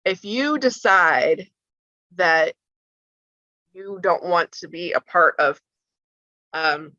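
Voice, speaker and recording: A woman's voice: lowish voice, lively intonation, takes it slowly, bright, forceful tone, no echo. A woman teaching parents how to use school apps, talking casually with frequent ums and restarts. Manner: conversational, many ums and restarts. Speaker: school tech trainer for parents. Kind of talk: instructional walkthrough for parents. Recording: slightly dull lo-fi sound.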